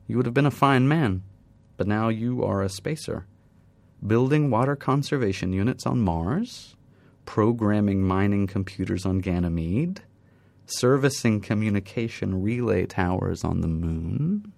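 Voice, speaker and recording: A man reading the part of a young woman student with a Turkish accent, speaking softly, politely and a little hesitantly. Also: lowish voice, animated, a little slow, creaky, no room sound. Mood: wistful. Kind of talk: audiobook character voice.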